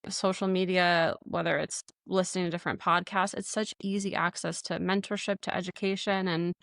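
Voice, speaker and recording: A woman's voice: lowish voice, flat delivery, brisk, gravelly, a bit bright, no echo, clean studio sound. A young woman, a flight nurse, talking candidly as a podcast guest, laughing often and using many fillers. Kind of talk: casual interview talk.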